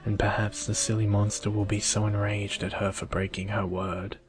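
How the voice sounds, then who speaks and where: A man reading a jealous, scheming elder sister from a storybook in a hushed, conspiratorial manner. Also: fairly low pitch, level tone, moderate pace, over music, close to an everyday voice. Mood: sly envy.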